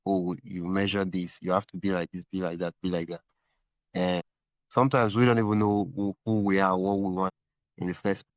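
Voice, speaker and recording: A man's voice: deep voice, little pitch movement, a little fast, dry recording, muffled recording. A man hosting a podcast interview, thinking aloud in long, rambling follow-up questions. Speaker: podcast host. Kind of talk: conversational interview.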